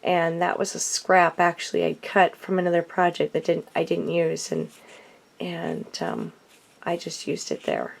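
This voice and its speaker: A woman with a cold and a hoarse voice, chatting casually as she shows her handmade cards, with frequent ums and self-corrections. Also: on the low side, a little slow. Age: adult. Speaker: card-making vlogger. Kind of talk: casual craft show-and-tell.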